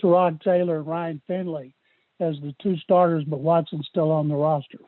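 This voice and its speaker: A man's voice: fairly high pitch, steady tone, normal speed, no echo. A male reporter asking pointed questions on a press conference call. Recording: tinny narrowband phone line.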